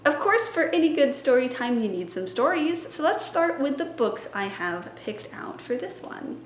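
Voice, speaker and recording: High-voiced young woman, upbeat and teacherly. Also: sing-song, moderate pace, faint music behind. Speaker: library science student and story time planner. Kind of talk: casual teaching vlog. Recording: echoey room.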